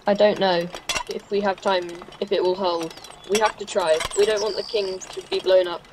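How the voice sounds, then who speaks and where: A woman's voice: mid-range voice, steady tone, normal speed, lightly acted. Teenage girl as an earnest rookie, noisy recording. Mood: determined, urgent. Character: earnest, determined young male rookie crane driver. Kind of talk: school radio play acting. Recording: clearly noisy background ambience.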